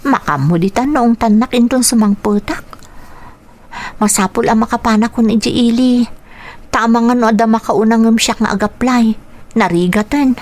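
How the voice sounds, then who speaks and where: A woman playing a patient, caring elder sister in an Ilocano radio drama, speaking gently and earnestly. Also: fairly high pitch, moderate pace, natural voice. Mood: earnest, hopeful. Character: patient, caring elder sister minding her younger brother. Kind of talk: Ilocano radio drama acting with narrated inner thoughts.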